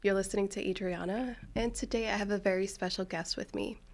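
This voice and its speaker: Woman hosting a chill podcast, mid-pitched voice, eager and friendly. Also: steady tone, fairly quick, a little mellow. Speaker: podcast host. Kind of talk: casual podcast interview.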